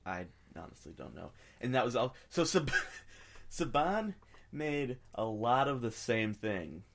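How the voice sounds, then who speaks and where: A man's voice: mid-range voice, sing-song, unhurried, creaky. A man co-hosting a podcast, chatting casually about pop culture and giving his opinions freely. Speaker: podcast co-host.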